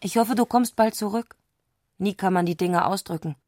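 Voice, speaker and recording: An actress playing an eccentric, excitable woman speaking an intimate letter in intense, abrupt short sentences, in a literary radio play. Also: mid-range voice, normal speed, dry recording, clean studio sound, close to an everyday voice. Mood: eager, confiding.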